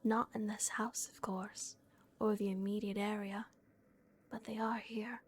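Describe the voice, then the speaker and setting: Woman whispering as a gentle vampire guardian. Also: mid-range voice, flat delivery, measured pace, slightly rough. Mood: gentle, solemn. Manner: soft unvoiced whisper, hesitant. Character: gentle, protective female vampire caretaker. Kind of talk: whispered ASMR roleplay.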